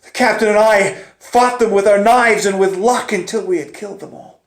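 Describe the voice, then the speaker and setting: A male storyteller performs a first-person tale with theatrical intensity. His voice sits high for a man and swings between hushed suspense and urgent, raised delivery. The sound is roomy, with clear echo. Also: moderate pace.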